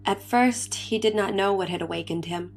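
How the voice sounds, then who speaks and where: A woman's voice: medium pitch, normal speed. A woman giving a dramatic, scripted reading of an old science fiction story as narrator. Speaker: story reader. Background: faint music.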